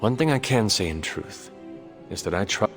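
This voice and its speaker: Male actor as low-pitched warrior hero, solemn, formal, measured, courteous, game cutscene, music underneath. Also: animated, natural voice. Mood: earnest, reassuring. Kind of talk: video game cutscene acting.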